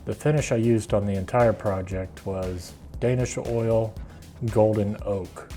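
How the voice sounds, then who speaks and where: A man's voice: fairly low pitch, unhurried, dark tone, faint music behind. A middle-aged man with an American accent, casually explaining a do-it-yourself project in a plainspoken, unscripted way. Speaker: woodworking video host.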